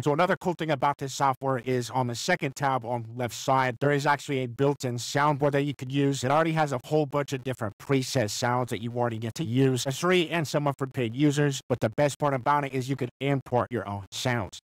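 A young man voicing a goofy cartoon dad through an AI voice changer, giving a software tutorial. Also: medium pitch, no echo. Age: young adult. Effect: real-time AI voice changer.

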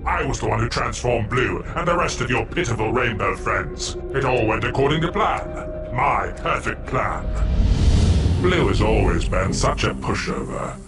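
A man's voice: mid-range voice, sing-song, moderate pace, close to an everyday voice. A man voicing a gloating red monster mad scientist in a cartoon, theatrical, condescending and smug. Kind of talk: animated cartoon villain acting. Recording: loud music behind the voice.

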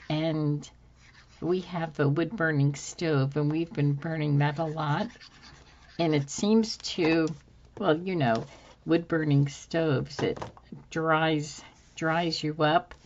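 Crafter woman, low and unhurried, slightly hoarse, rambling as she works; dull recording with faint hiss. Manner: slow, meandering, thinking aloud with many ums. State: slightly hoarse from dry throat. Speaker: craft channel host. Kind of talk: casual crafting tutorial chat. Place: home craft table, indoors.

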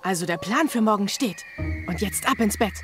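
A woman's voice: mid-range voice, animated, normal speed, natural voice. A woman playing a confident, adventurous eldest boy in a children's radio play. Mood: confident, eager. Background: music.

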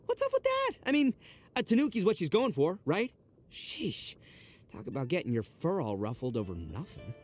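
A loud-mouthed, big-hearted young oni gang boss from a video game. His male voice sits high and leaps around with very animated intonation. He talks in cocky, theatrical slang, with music playing behind him. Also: exaggerated voice. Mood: teasing, baffled. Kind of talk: animated game character voice lines.

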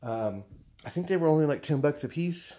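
A man with an American accent chatting casually and haltingly, thinking aloud as he shows off purchases in a living room. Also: fairly high pitch, animated, dark tone. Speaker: vlogger showing purchases. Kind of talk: casual unboxing chat.

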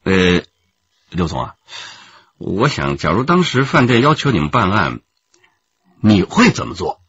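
An actor playing an earnest, respectful police detective who questions politely in a radio drama. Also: male voice, deep voice, sing-song, measured pace, a bit bright, husky, no echo, exaggerated voice. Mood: earnest, inquiring. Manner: polite, inquiring. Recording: muffled, dull radio drama recording.

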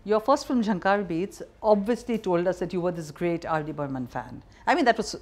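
A woman's voice: lowish voice, expressive, fairly quick, darkish tone. A middle-aged woman with an Indian accent hosts an interview, asking direct, probing questions in a conversational style. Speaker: interview host. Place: indoor bar lounge set.

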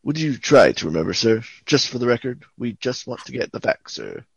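Man as polite police knight, deadpan, husky, dry close sound, radio crime parody. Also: medium pitch, brisk, dry recording, dull audio, exaggerated voice. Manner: deadpan, clipped police patter.

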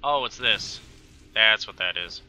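A man in his thirties from Texas with a light Texan accent, chatting through gameplay commentary on a headset, full of quick exclamations and talk to himself. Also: on the low side, pushed and bright, soft background music, rough recording. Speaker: gaming channel host.

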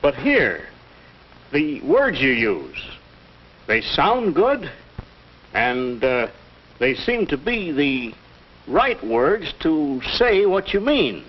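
A man's voice: on the high side, huge pitch swings, extremely slow, pauses often, a little hiss or hum, exaggerated voice. An actor playing a flustered, plain-spoken middle-aged American family man in a 1940s educational film, with a muffled period soundtrack. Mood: impressed, hesitant.

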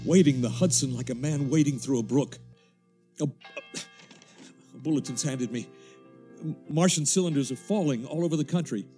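An actor playing an urgent, stammering radio reporter watching an invasion, in 1930s radio drama style. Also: male voice, medium pitch, moderate pace, pauses often, mellow, soft background music, natural voice. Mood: alarmed. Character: panicked male radio reporter witnessing an invasion.

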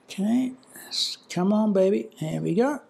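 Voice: medium pitch, expressive, unhurried, vocal fry, warm tone, slightly rough. Casual hands-on tutorial voice, muttering while working. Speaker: product installer and reviewer.

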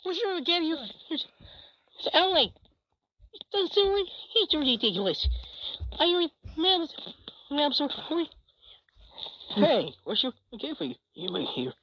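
Excitable cartoon character, played by a woman: high, swooping pitch, lo-fi noisy sound. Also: unhurried, long pauses, exaggerated voice. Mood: chattering. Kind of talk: cartoon dialogue. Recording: muffled lo-fi audio, loud noise.

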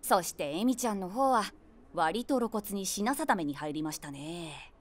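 A woman's voice: medium pitch, expressive, measured pace. An actress playing a nosy, imaginative middle-aged woman who narrates a comic audio drama with wry, theatrical asides. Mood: wry, teasing. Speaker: narrator and main character.

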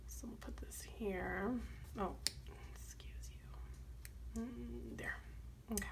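A woman, chatting casually while planning. Her voice is strained and crackly, sometimes dropping deep, and she rambles between soft asides. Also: fairly even intonation, takes it slowly, vocal fry, whispered. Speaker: planner vlogger. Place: home desk, camera overhead on planner.

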